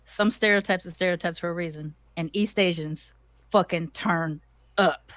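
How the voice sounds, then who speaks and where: A woman hosts a casual podcast interview, blunt and quick with a joke, swearing without a second thought. Her voice sits a little low, and the recording is dry and close, with no room around it. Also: fairly bright tone, no echo. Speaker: podcast host.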